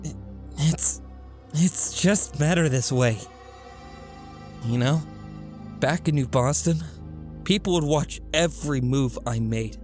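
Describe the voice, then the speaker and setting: A man playing a guilt-ridden, defensive teenage son in a comic dub, hesitant and evasive, halting as he talks. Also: a little high, darkish tone, faint music behind. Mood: bitter. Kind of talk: amateur comic dub voice acting.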